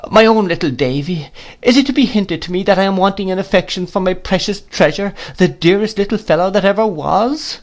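A man's voice: sing-song, a little slow, creaky, few pauses, full character voice. A man voicing a pretty young mother who is tearful, easily wounded and reproachful, in a read-aloud story. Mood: tender. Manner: high, pleading and reproachful.

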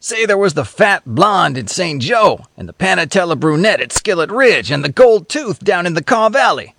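A man's voice: sits high, big pitch swings, moderate pace, creaky, fairly bright tone, no room sound, pitched above the performer's own voice, heavily acted. Man doing a cynical drawling old-time storyteller. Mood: sardonic. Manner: folksy slangy storyteller.

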